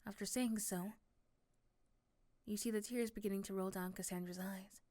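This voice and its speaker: A woman softly narrating a scripted second-person ASMR story, hushed and often close to a whisper. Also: mid-range voice, steady tone, normal speed.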